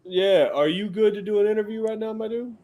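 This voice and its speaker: A man's voice: sits high, brisk, a little mellow. Easygoing slangy podcast host in his thirties. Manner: easygoing, slangy, frequent "uh" fillers. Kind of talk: casual interview chat.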